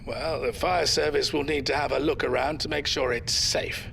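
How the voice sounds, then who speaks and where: An actor playing a wry, plucky old grandfather in an audio drama, dry and gently defiant in manner. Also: a little high, fairly even intonation, moderate pace, gravelly, a little hiss or hum. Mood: dryly defiant. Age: older.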